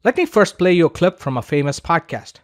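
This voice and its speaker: A man's voice: fairly high pitch, very animated, fairly quick, soft background music. A man delivering scripted instructional narration as a podcaster and teacher, in an emphatic, persuasive manner.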